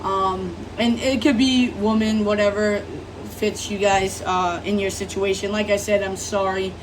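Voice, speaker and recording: A woman with a rough, hoarse voice from being sick, giving a casual, rambling tarot reading as host of her channel. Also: mid-range voice, steady tone, unhurried, distant mic, background noise. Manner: rambling, apologetic, many fillers. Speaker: tarot reader.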